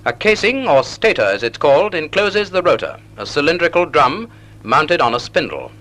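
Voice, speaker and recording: Male, 1940s instructional film narrator. Slightly high, crisp-edged bright tone, measured didactic delivery, vintage soundtrack. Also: low-quality recording.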